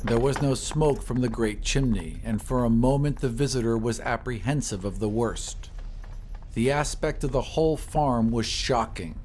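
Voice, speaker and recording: A man's voice: medium pitch, normal speed, a little mellow. Male horror narrator over noisy ambience. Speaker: audiobook narrator. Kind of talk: scripted narration of a horror story. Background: ambient sound effects.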